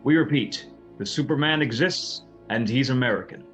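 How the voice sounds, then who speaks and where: A man acts out a proclaiming news announcer, emphatic and patriotic. His mid-pitched voice delivers a formal broadcast bulletin in a superhero drama, with music clearly behind it. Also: normal speed, muffled recording, lightly acted. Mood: proud, urgent.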